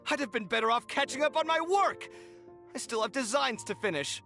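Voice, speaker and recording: A man's voice: very high-pitched, expressive, fairly quick, sharp tone, soft background music, full character voice. An actor playing a proud, easily exasperated young male architect who talks in an indignant, theatrical way. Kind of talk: video game dialogue.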